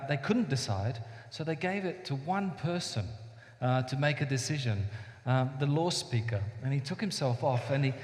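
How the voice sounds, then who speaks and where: A man raised in Iceland, an author at a book launch, speaking thoughtfully with many pauses and fillers. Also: mid-range voice, darkish tone. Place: church hall. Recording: echoey hall with long reverb.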